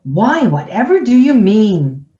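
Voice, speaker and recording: A woman's voice: medium pitch, sing-song, slow pace, dark tone. Radio drama wife, female actor: lonely, anxious, theatrically proper, recorded dull in an echoey room. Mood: guarded, plaintive. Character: anxious, lonely middle-aged wife with a secret.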